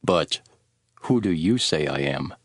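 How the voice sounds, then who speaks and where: An actor playing a calm, grave, authoritative male teacher in a Bible audio drama, speaking in a measured way. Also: very deep voice, dry recording, clean studio sound, full character voice. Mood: calm, questioning. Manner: measured, solemn.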